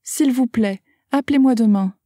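A woman's voice: on the high side, moderate pace, mellow, no echo, studio quality. A woman carefully reading short phrases aloud for a language lesson, enunciating each one. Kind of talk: slow scripted phrase reading.

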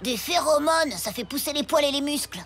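A woman's voice: high-pitched, animated, fairly quick, fairly bright tone, soft background music. A woman voicing a naive, easily impressed ten-year-old schoolboy sidekick in a French cartoon.

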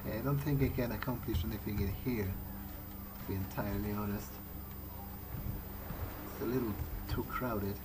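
A man's voice: fairly low pitch, steady tone, moderate pace, pauses often. A man improvising in character as he plays a video game, thinking aloud hesitantly. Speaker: gamer playing in character. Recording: clearly noisy, game ambience underneath. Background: video game ambience.

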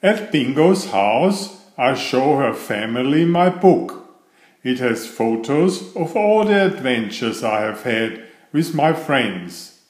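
Man, children's story reader, slow pace, lively pitch swings, deliberate delivery. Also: roomy sound. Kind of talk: slow, expressive picture-book read-aloud.

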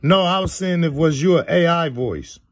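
A man with a husky voice in a casual phone chat, slangy and teasing. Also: on the high side, moderate pace, no room sound. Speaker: prank-minded call recipient.

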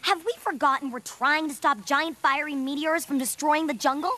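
A woman's voice: sits high, normal speed, pushed and bright, soft background music. A woman voicing a bossy, sarcastic, no-nonsense girl in a cartoon. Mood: exasperated. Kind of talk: animated cartoon acting.

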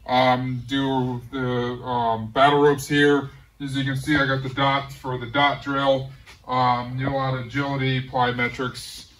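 A middle-aged man casually showing off his garage gym, talking in a relaxed, rambling way with many pauses. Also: mid-range voice, recorded from a distance, rough recording. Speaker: home gym owner giving a tour. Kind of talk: casual walk-through tour. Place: large garage home gym.

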